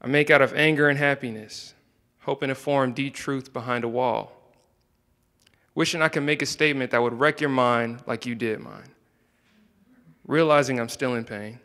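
A young man reading his own spoken word poetry into a handheld microphone, soft-spoken and hesitant between poems. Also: medium pitch, fairly even intonation, moderate pace, big-room sound. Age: young adult. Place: indoor room, standing by a wall.

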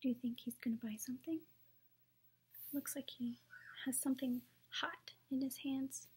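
A young adult woman reading picture books to children at story time in a soft, hushed, breathy manner. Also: high-pitched, fairly even intonation, moderate pace. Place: small room at home, indoors.

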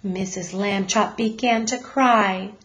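Slow, deliberate woman reading a storybook. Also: mid-range voice, fairly even intonation, a bit bright. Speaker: teacher reading aloud. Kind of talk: children's storybook narration.

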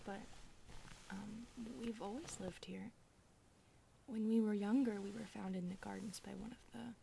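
A woman playing a polite young centipede maid, a protective elder sister, hesitant and apologetic, soft, breathy and often whispering in an ASMR roleplay. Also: mid-range voice, a little hiss or hum. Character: shy, proper twenty-one-year-old centipede maid, protective elder sister.

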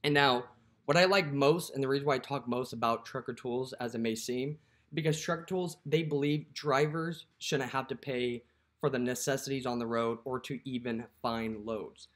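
A man's voice: medium pitch, moderate pace, a bit bright. A young man with a mid-range voice talks casually to the camera, walking through a phone app. His talk is loose and conversational, full of "you know" and "what have you." He is recorded close up indoors. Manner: conversational, filler-heavy, lots of "you know". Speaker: dispatcher and app promoter.

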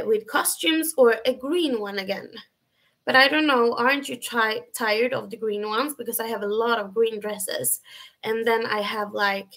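A young woman from Sweden with a Swedish accent and a high, feminine voice, chatting casually with viewers on a webcam livestream from her bedroom, full of fillers and restarts. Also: fairly bright tone. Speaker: livestream host. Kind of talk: casual live chat answering viewers. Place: bedroom at home, indoors.

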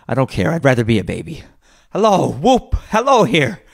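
A man's voice: mid-range voice, animated, rapid-fire, gravelly. A man voicing a repentant old miser in a storybook, fervent and exclamatory, with breathless, effusive delivery. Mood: giddy elation. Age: older.

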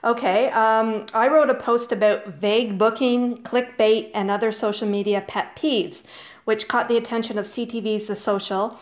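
A woman's voice: on the high side, steady tone, moderate pace. Bubbly, eager woman interviewer. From Canada. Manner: bubbly, eager, laughs often. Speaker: blogger interviewer. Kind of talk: casual interview.

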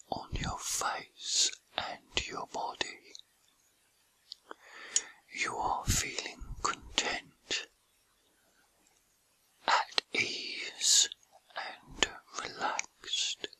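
A man whispering a guided meditation in a soothing, gentle, breathy ASMR style with unhurried pauses. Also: no room sound, rough recording.

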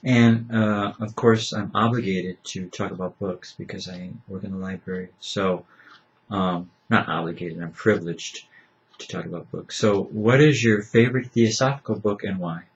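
An older man, a librarian, hosts an interview in a book-lined library room, talking with many hesitations and a gentle joking tone. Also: low-pitched, fairly even intonation, normal speed. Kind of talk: casual interview. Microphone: camera mic at table distance. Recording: slightly dull lo-fi webcam audio.

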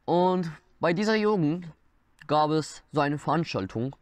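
A man's voice: a little high, lively intonation, unhurried, smooth, no room sound. A nineteen-year-old man from Iran, sharing a personal testimony in earnest, slightly non-native German while seated before a camera indoors. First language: Persian. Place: indoors, dark wooden wall behind. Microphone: camera in front, seated mid-shot.

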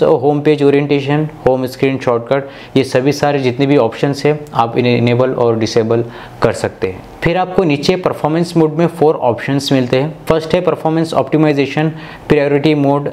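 A man's voice: mid-range voice, darkish tone. A man from India, narrating a tech tutorial in an instructional, step-by-step way. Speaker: tech video host.

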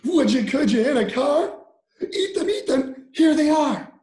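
A man's voice: extremely high pitch, expressive, unhurried, darkish tone, roomy sound, pitched above the performer's own voice. A man voicing a pushy, eager little storybook creature with sing-song, coaxing questions.

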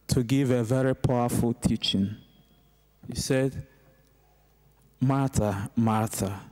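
A middle-aged man, a priest, delivering a homily in a measured, deliberate way with pauses between short phrases. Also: mid-range voice, darkish tone. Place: inside a large church, at the lectern. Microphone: gooseneck lectern microphone.

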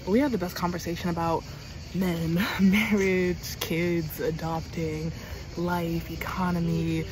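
A woman's voice: lowish voice, little pitch movement, a little slow, low-quality recording. A young woman, chatting casually to her phone as a travel vlogger, rambling and laughing between words. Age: young adult. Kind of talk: casual vlog chat to camera. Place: outdoors on city streets and a bench, also indoors in a hostel. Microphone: phone held at arm's length. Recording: outdoor street noise behind.

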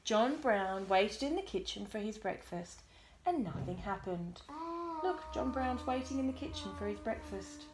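A woman reading a bedtime storybook aloud to a young child in an expressive, deliberate way, pausing to prompt. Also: medium pitch. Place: small bedroom at home. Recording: roomy echo, background noise, clicks.

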